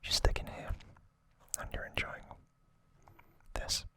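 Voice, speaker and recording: A male ASMR host speaks in a crisp, hissy whisper that is almost fully unvoiced. His delivery is gentle and slow, broken by pauses. The breathy sound is strikingly bright as he addresses his viewers.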